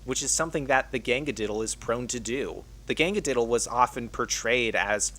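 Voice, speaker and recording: A man's voice: medium pitch, a little fast, a bit bright. A man reading a scripted video essay as the narrator of an online channel.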